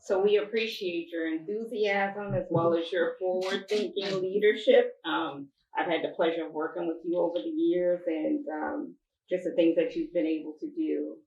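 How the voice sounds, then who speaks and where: A woman's voice: fairly low pitch, steady tone, moderate pace, gravelly, a little mellow, slight background noise. A woman moderating a public panel, asking questions and offering formal thanks. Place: church interior. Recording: echoey room, distant mic, dull sound.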